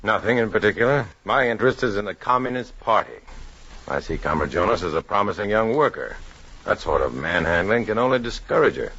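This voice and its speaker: A man's voice: lowish voice, lively intonation, a little fast, a bit bright. An actor playing a defiant, sardonic undercover man with a dry, clipped manner in a 1950s radio drama. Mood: wary, sardonic. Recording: noisy, dull 1950s radio broadcast.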